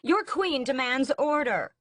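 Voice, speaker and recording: A woman's voice: mid-range voice, sing-song, measured pace, no room sound, studio quality, pitched above the performer's own voice. A woman voicing a haughty, scheming vampire queen mother in an audiobook, with a cool, mocking drawl. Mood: commanding. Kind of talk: audiobook character voice.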